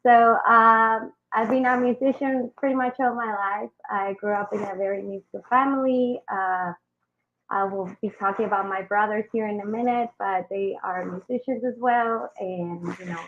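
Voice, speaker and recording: A woman's voice: mid-range voice, a little hiss or hum. A young adult woman from Colombia, a native Spanish speaker with a Colombian Spanish accent, chatting as a musician guest on a video call with many ums and you-knows. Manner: warm, chatty, fills with um and you know. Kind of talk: casual interview answers. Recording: dull lo-fi video call audio.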